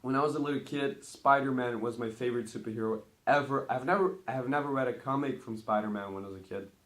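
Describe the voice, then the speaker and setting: A teenage boy from Brazil who grew up speaking Portuguese talks casually to his camera. He rambles cheerfully, his voice at an everyday pitch, and he gets louder and brighter each time he starts a new numbered item. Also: fast talker. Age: teens. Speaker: vlogger.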